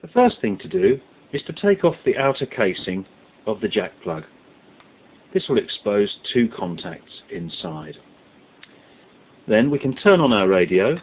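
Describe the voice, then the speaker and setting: Man giving lively, swooping step-by-step instructions. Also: on the low side, measured pace, pauses often. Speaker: instructor presenting a science kit tutorial. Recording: muffled lo-fi audio with background hiss.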